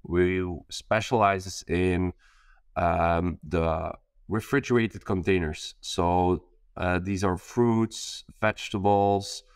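A man's voice: low voice, clear, resonant tone, no echo, clean studio sound. A man in his thirties from Belgium, a startup founder speaking as a guest on a video call, hesitant with many fillers and restarts. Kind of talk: casual interview on a video call. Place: bright attic room with skylight.

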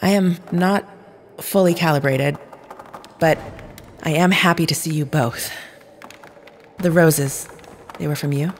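A woman playing a formal, controlled, android-like woman in an audio drama, speaking in a stilted, measured manner. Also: low voice, a little hiss or hum. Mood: grateful, formal.

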